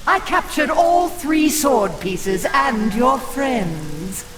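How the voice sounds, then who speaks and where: A woman's voice: high voice, lively intonation, extremely slow, full character voice. An actress playing a cruel, scheming witch who sneers and hurls commanding threats. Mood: threatening. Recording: echoey with loud game music.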